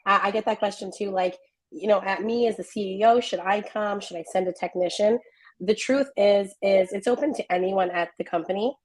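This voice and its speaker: A woman with a hoarse, worn-out voice, organizer of the event, explaining it in an upbeat, friendly way as a guest on a video call. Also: medium pitch, brisk. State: hoarse, lost voice.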